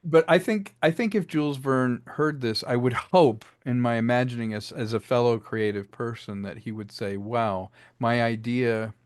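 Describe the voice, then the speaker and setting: A man's voice: medium pitch, normal speed, few pauses, dry recording, clean studio sound. A man talking casually and enthusiastically as a writer and director being interviewed about his work. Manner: chatty, enthusiastic, acts out bits of dialogue.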